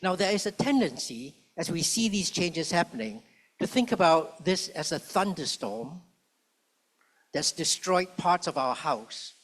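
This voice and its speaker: A man speaking as a public policy professor on a panel, measured and rhetorical, slowing down to stress key points. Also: fairly high pitch, lively intonation, fairly bright tone, low-quality recording. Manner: measured, rhetorical, slows for emphasis. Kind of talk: panel talk. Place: conference hall.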